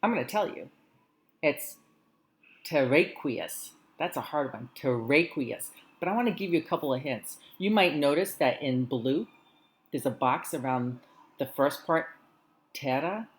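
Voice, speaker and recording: Low-voiced woman greeting schoolchildren. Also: expressive. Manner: warm encouraging teacherly tone, slow careful reading. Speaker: school morning announcements host. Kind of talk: friendly talk to schoolchildren.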